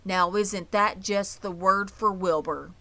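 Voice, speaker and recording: A woman voicing a brisk, commanding farmer in a storybook reading. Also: medium pitch, steady tone, bright, forceful tone. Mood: brisk cheerful. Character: brisk, bossy farmer, a grown man.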